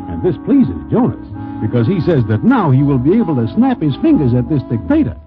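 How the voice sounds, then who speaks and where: Tinny old-radio wry New York sidekick. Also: male voice, fairly high pitch. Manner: wry, formal slang in present tense. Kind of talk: 1940s radio comedy acting. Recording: tinny, band-limited 1940s radio recording, music behind.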